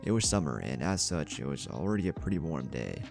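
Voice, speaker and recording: A man's voice: extremely low pitch, sing-song, a little fast, gravelly, darkish tone, faint music behind. A man narrating a scripted action story in a dramatic storytelling style.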